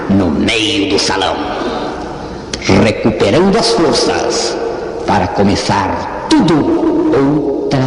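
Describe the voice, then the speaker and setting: A man performs a macabre gravedigger villain with a Brazilian accent, declaiming very slowly and grandly. His pitch swings wildly as he taunts, in a theatrical audio horror monologue over music. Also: poor audio.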